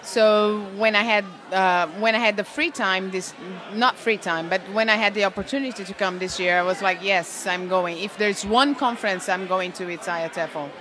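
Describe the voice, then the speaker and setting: A woman from Brazil, answering interview questions enthusiastically at a teaching conference and pausing often on "uh". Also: medium pitch, steady tone, fairly bright tone, some chatter behind. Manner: enthusiastic, with frequent "uh" pauses. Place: busy conference venue.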